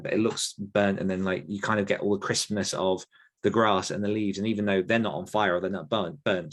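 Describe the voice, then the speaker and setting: Rambling low-voiced man. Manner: rambling, searching for words with many fillers. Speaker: podcast host and horror author. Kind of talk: casual conversational Q&A.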